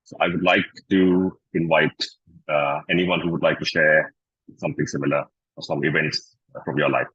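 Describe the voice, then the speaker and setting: A man's voice: extremely low pitch, little pitch movement, moderate pace, a bit bright, slightly rough, dry recording, muffled recording. A man sharing a workplace anecdote at a club meeting, then inviting others to share their own stories. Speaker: meeting facilitator.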